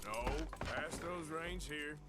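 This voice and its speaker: An actor playing a gruff, laconic cowboy outlaw in a game. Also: male voice, on the high side, slow pace. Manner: dry, laconic. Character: gruff male outlaw, rough drawling cowboy. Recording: loud game music behind.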